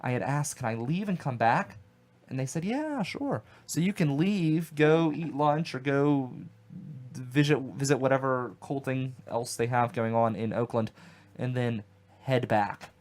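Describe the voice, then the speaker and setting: Male radio host, mid-pitched, rambling and chatty. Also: unhurried, a little mellow. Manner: rambling, chatty, lots of fillers. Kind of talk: casual radio chat.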